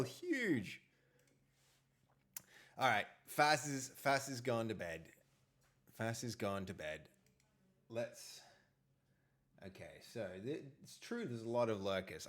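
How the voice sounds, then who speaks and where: A man hosting a casual live stream, talking with a big, loud voice and rambling, repeating himself. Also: medium pitch.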